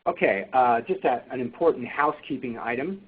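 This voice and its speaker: Man, husky, halting webinar moderator, tinny phone-line sound. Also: mid-range voice, expressive. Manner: halting, many fillers and restarts. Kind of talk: webinar presentation.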